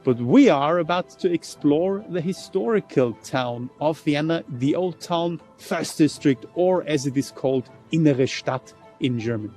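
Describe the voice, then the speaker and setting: Higher-voiced man guiding a tour over music. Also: animated, a little slow, hardly any pauses, a little mellow. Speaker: tour guide. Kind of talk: guided city tour narration.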